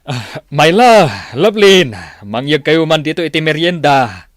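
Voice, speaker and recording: A man playing a calm, hospitable middle-aged father in a comedy drama, with a northern Philippine accent. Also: a little high, measured pace, no room sound. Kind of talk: amateur comedy drama acting.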